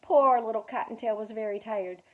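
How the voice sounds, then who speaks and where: A woman youth director reading a children's storybook aloud, expressive with dramatic pauses. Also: a little high. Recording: tinny phone-like audio.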